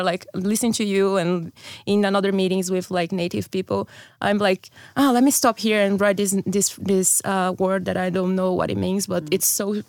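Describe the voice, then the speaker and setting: A woman's voice: medium pitch, no echo, studio quality. Laughing woman, searching for words. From Brazil. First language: Brazilian Portuguese. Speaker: copywriter, podcast guest. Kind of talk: casual podcast chat.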